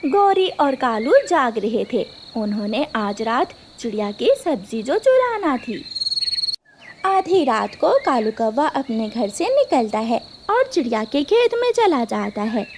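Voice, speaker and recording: A woman's voice: a little high, very animated, measured pace, noisy background. A woman storyteller narrating a scripted children's cartoon tale.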